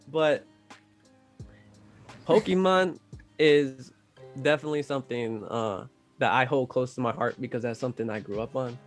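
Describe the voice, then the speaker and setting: A man's voice: medium pitch, a little slow, long pauses. Slangy, free-swearing man over music. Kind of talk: casual chat about video game movies. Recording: music playing behind the voice.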